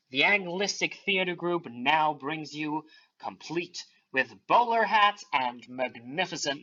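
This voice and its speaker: A man's voice: on the high side, bright, forceful tone, full character voice. A man playing a grand, ominous announcer of a mystery radio play, speaking theatrically. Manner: theatrical, portentous. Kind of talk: old-time radio drama announcing. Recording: slightly dull, band-limited audio.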